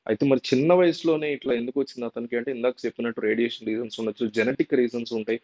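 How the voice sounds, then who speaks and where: A man's voice: mid-range voice, fairly even intonation, very fast, a little mellow. A man presenting an informal unscripted explainer, repeating and rephrasing points and mixing in English terms. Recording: dull, lo-fi sound.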